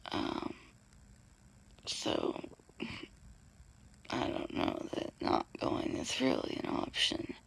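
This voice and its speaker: A woman's voice: very slow, long pauses, low-quality recording. A young woman in pain, just woken up, whispering a video diary from bed into her phone. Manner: tired, whispery, mostly unvoiced. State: in pain, groggy from waking. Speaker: vlogger. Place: in bed in a bedroom. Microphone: phone front camera at arm's length.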